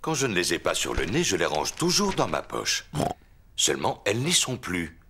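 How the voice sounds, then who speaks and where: A man's voice: low voice, huge pitch swings, normal speed, noisy background, exaggerated voice. A man voicing a huffy, indignant middle-aged father pig, blustering away in a children's cartoon dub. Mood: grumpy, indignant.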